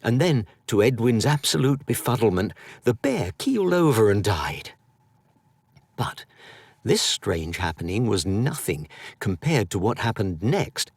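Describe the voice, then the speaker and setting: A male audiobook narrator with a lowish, creaky voice. He reads a children's story dramatically, stretching out key words, and his pitch moves in wide swings. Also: big pitch swings, darkish tone, no echo. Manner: dramatic, drawn-out emphasis.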